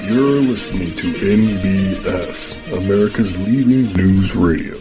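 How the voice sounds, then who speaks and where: A man playing a radio station announcer in an audio drama, delivering a slow, deliberate news station ident. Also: sits low. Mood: polished, deliberate. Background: plucked guitar music.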